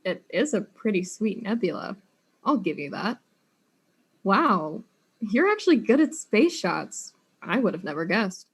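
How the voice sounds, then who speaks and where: A woman's voice: medium pitch, sing-song, unhurried, husky, exaggerated voice. A woman playing a blunt, exasperated detective in a comic audio drama, dry, impatient and sarcastic.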